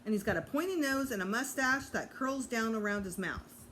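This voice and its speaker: A woman's voice: fairly high pitch, lively intonation, normal speed, fairly bright tone. A woman voicing an eager, blunt, boastful little kindergarten boy from a children's storybook, over background noise.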